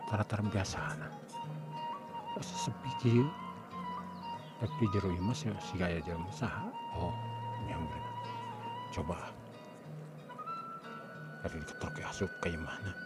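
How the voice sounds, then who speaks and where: A man plays an arrogant young cartoon schemer with a Sundanese accent. His lowish voice swings widely in pitch as he mutters and exclaims. Music plays clearly behind him. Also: very animated, a little slow, pauses often. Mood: puzzled, irritated. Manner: muttering to himself, sighing, exaggerated exclamations. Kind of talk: Sundanese comic animated storytelling, acted dialogue.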